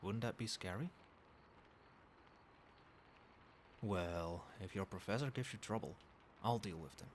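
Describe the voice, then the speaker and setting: A man playing a doting yet controlling, quietly menacing boyfriend in a soft-spoken ASMR roleplay, coaxing tenderly with pet names. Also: fairly low pitch, moderate pace, natural voice. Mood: quietly threatening. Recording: steady background noise, night ambience. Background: rain and cricket sounds.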